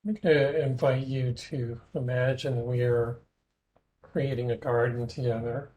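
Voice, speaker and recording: A man leading a guided imagery meditation in a gentle, measured way, leaving long pauses between phrases. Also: medium pitch, fairly even intonation, low-quality recording.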